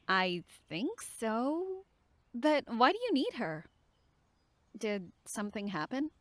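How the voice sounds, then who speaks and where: Woman acting young female counselor, high pitch, swooping intonation, perky, nosy, eager, audio drama. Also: sing-song, takes it slowly, a bit bright, no echo, exaggerated voice. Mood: perky, curious. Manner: bubbly, inquisitive.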